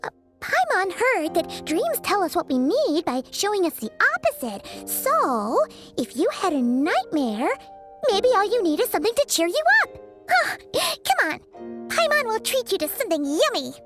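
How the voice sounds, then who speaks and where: A woman voicing an excitable, chatty little fairy companion in a game who refers to herself in the third person. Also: very high voice, moderate pace, exaggerated voice. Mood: chirpy. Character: tiny floating female fairy companion, chirpy. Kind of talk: game dialogue. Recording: game audio with music behind.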